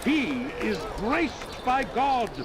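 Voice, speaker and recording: Fervent crusader town crier from a game, high voice, really animated, declaiming, loud street noise. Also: male voice, very slow, heavily acted. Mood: fervent, proud. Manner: declamatory, oratorical. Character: male Crusader herald preaching fervently to a crowd. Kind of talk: video game voice acting. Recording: very noisy street ambience.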